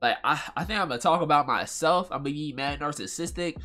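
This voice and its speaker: A man's voice: fairly high pitch, fast talker, bright, forceful tone, faint music behind. A man hosting his own podcast with a nasal voice, chatting casually in a loose, rambling, slangy way at a home mic. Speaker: podcast host. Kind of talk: casual solo podcast talk.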